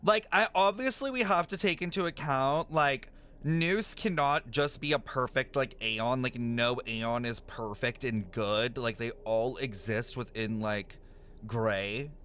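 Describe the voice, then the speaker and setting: A man's voice: mid-range voice, measured pace, fairly bright tone, clear, resonant tone. A man streaming a game reaction, talking casually with plenty of swearing and filler words. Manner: casual, profane, lots of filler "like".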